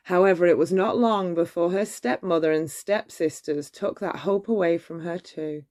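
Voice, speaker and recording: A woman narrating a children's bedtime story in a measured, expressive storytelling manner. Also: fairly low pitch. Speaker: storybook reader.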